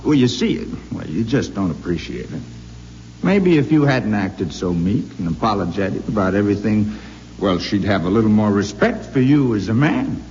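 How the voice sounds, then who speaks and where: Marionette robot double, mannerly, jealous, quietly threatening, animated pitch, old-time radio drama, echoey hall. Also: male voice, normal speed. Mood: aggrieved, polite. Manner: mild and courteous turning coldly sinister. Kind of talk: 1950s radio drama acting. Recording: dull old radio broadcast with hiss and long reverb.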